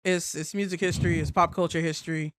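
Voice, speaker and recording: Casting: American podcast co-host, mid-pitched voice, casual, slangy and sweary, recorded dry. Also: steady tone, fast, fairly bright tone, no room sound. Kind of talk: casual chat.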